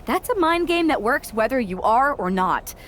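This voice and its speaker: A woman's voice: on the high side, very animated, moderate pace, fairly bright tone, slight background noise. A woman voicing an eager, overdramatic young wrestler who wants to be a witch, in a video game. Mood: defensive. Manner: perky, pleading, overdramatic. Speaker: voice actor in a video game cutscene. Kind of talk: animated video game acting.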